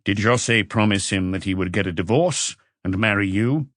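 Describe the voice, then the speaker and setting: A calm police inspector from an audiobook, acted by a man. He questions in a measured, probing way with few words. The voice is fairly low and recorded very dry. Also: dry recording, lightly acted.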